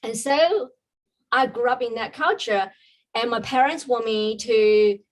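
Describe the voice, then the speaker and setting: A woman's voice: on the high side, a little slow, low-quality recording. Woman telling her story. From China. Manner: earnest, reflective storytelling with frequent ums. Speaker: podcast guest, business coach. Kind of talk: personal story in a podcast interview.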